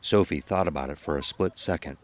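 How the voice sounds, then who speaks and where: A man's voice: rumbling low, a little fast. A man giving scripted narration of a horror story as its narrator. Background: music.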